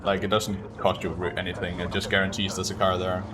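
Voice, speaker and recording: Male game streamer, low voice, offhand and self-critical speedrun commentary, faint noise behind. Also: level tone, fast.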